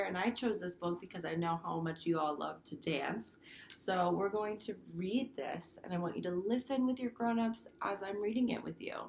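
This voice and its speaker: Expressive woman teacher reading aloud. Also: mid-range voice. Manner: simple, explanatory, expressive. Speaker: primary school teacher. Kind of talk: read-aloud to children with commentary.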